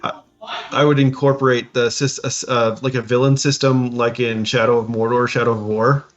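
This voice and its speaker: A man in casual chat as a podcast co-host, halting and stammering as he rambles through his thoughts. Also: medium pitch, flat delivery, muffled recording. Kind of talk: casual reaction chat about tabletop games.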